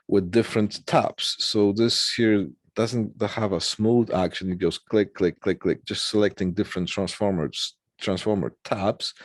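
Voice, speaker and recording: A man with a fairly deep voice, recorded close and dry with little room sound. He speaks as an instructor walking through technical slides. His explanations are informal and halting, punctuated by small tags that check the listener is following. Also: dry recording.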